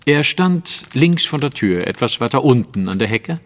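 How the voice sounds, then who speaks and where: An actor playing a courteous, self-assured detective with a formal, probing way of speaking, in a German audio drama. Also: male voice, medium pitch, lively intonation, normal speed, long reverb tail, slight background noise, lightly acted. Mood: brisk, assured.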